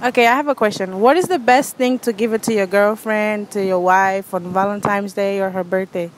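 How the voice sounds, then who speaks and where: A woman's voice: medium pitch, fairly even intonation, a little fast. A young woman doing a street prank interview, chatting with strangers and filling her questions with "like" and "um". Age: young adult. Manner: chatty, lots of "like" and "um" fillers. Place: outdoors and in a shopping mall, by storefronts and a parking lot. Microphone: handheld phone held out to people. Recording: noisy street and mall background.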